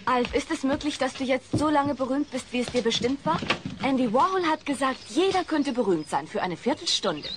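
A woman with probing questions in a radio play. Her voice is high, over clear background noise. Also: lively intonation, brisk. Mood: doubting.

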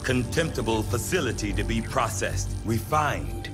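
An actor playing a grave, learned chief scientist with a formal, deliberate and solemn delivery. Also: male voice, mid-range voice, expressive, over music, close to an everyday voice. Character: grave, learned male chief scientist, disturbed but composed.

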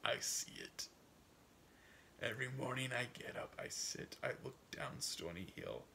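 Man voicing a gravelly, wistful old farmer. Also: medium pitch, wildly swooping pitch, unhurried, slight background noise, exaggerated voice. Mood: dreamy, wistful. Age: older. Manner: folksy, musing, drifting into hushed asides. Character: proud, wistful, stubborn old farmer dreaming for his son. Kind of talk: dramatic audiobook reading in first person.

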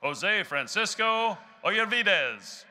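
Loud bright young man reading names. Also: high voice, very animated. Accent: Texan. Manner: loud, measured announcing of names. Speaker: graduation name reader. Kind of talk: reading graduate names at a ceremony. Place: large indoor arena stage. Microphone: podium microphone.

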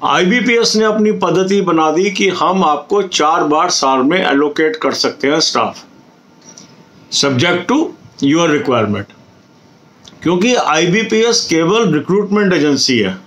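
An Indian man in his fifties speaks as a coaching host giving an explanatory update. His voice sits a little high for a man, and he goes over points deliberately, repeating them for emphasis. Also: animated, a bit bright, rough recording. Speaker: exam coaching video host. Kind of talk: explanatory update to camera for exam candidates. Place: small indoor room at home.

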